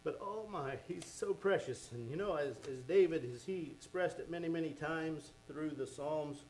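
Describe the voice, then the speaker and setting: A male preacher delivers a sermon in a fervent manner. His voice sits a little high, and he keeps exclaiming as he goes. A faint hum runs behind him. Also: normal speed, a little mellow, poor audio.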